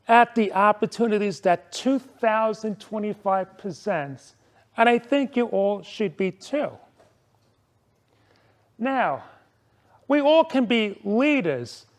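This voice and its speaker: A man in his forties with a high-pitched voice delivers a prepared speech to a club. He speaks deliberately and emphatically, repeating key words and posing rhetorical questions. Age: 40s. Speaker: club member giving a speech.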